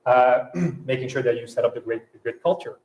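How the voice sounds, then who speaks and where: A man from France speaking English with a French accent, a guest at a fireside chat who talks in halting phrases with many restarts and fillers. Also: medium pitch, darkish tone, echoey room. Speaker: panel guest.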